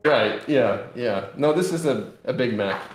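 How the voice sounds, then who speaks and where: A man's voice: medium pitch, expressive, a little slow, soft background music. A man in a casual interview explaining a tabletop game in a rambling, loosely hedged way. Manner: rambling, explanatory, hedging with "kind of". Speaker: game publisher representative being interviewed. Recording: roomy, echoey sound.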